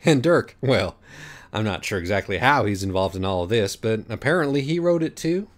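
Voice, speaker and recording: A man's voice: fairly low pitch, expressive, a little fast, natural voice. Man voicing a composed middle-aged woman.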